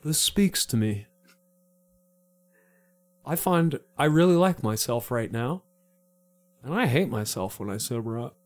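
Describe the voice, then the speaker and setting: A man's voice: mid-range voice, expressive, a little slow, long pauses, pitched below the performer's own voice, lightly acted. A man playing a drunken, dramatic knight whose grand, pompous phrasing keeps slipping into casual slang.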